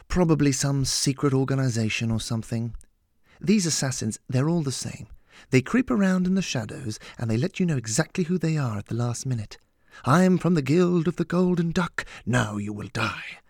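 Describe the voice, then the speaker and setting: Guy doing an older storybook gentleman, composed, polite, bit flippant, kindly. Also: mid-range voice, expressive, normal speed, dry recording, clean studio sound. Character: aging gentleman mentor, wry, composed, kindly. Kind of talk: audiobook character dialogue.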